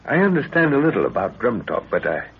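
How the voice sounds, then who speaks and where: A man's voice: mid-range voice, huge pitch swings, fast, breathy edge, full character voice. An actor playing a grave, authoritative middle-aged father who leads an expedition, in a 1930s radio drama. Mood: grave, confiding. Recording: tinny, noisy 1930s radio recording.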